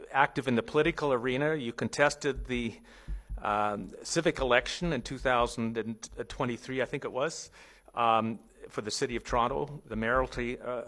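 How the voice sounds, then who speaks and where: Halting man moderating a formal hearing. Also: medium pitch, a bit bright. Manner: halting, rephrases as they go. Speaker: hearing moderator questioning a witness. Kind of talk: formal but conversational questioning.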